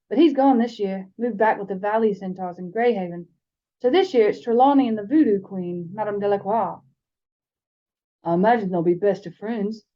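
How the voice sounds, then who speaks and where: A woman's voice: medium pitch, dark tone, dull audio. An American woman co-hosting a fan podcast, reading stories aloud and chatting casually about books. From United States. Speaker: podcast co-host and audiobook reader. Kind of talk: story reading and casual book chat.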